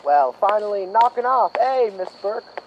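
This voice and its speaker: Casting: actor as jovial, chatty male gatekeeper, drawling slowly with big pitch swings, radio drama, muffled noisy sound. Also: lively intonation. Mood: friendly. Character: chatty, folksy male studio gate guard. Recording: dull, noisy recording.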